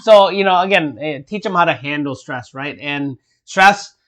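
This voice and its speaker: A man's voice: fairly high pitch, normal speed, fairly bright tone. A man co-hosting a casual parenting chat, talking emphatically and often ending points with a question. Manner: emphatic, frequent tag questions. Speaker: co-host, father.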